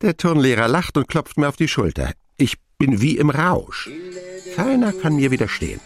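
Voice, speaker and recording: An actor playing a grown man who wryly recalls his boyhood, narrating a radio drama in an expressive, sardonic manner. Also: a little high, moderate pace, soft background music. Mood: wry, elated.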